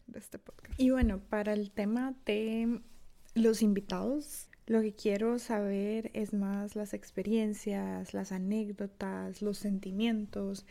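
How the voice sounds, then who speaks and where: A woman's voice: mid-range voice, vocal fry, warm tone. A young adult woman with a Costa Rican accent, hosting her own podcast in a reflective, self-deprecating solo talk with many fillers and restarts. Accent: Costa Rican Spanish. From Costa Rica. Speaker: podcast host. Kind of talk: casual solo monologue.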